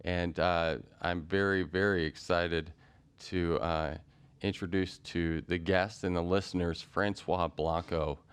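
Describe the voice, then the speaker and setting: Low-voiced man hosting a podcast. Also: flat delivery, slow pace, smooth. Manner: rambling, many fillers and restarts. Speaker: podcast host. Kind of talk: casual interview chat.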